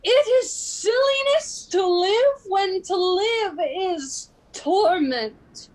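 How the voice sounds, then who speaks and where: A woman playing a foolish, lovesick Venetian gentleman in a Shakespeare radio play, plaintive and melodramatic. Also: very high voice, expressive, very slow, full character voice. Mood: despairing.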